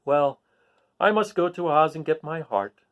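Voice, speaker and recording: A man's voice: on the high side, lively intonation, a little slow, muffled recording. A man voicing a kindly, grateful tin woodman in a storybook, polite and earnest. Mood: curious.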